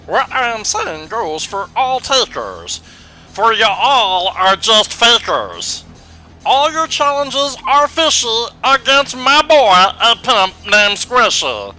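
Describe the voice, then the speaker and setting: A pompous, boastful manager from a cartoon wrestling show. His very high voice swoops around grandly and takes its time over each phrase. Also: bright, forceful tone, full character voice, poor audio. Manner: theatrical, grandiose, drawn-out.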